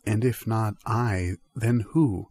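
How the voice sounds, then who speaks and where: A man's voice: fairly low pitch, slow pace. A man performing an improvised comic monologue in a dry, solemn, theatrical manner. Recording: music behind the voice. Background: soft plucked guitar.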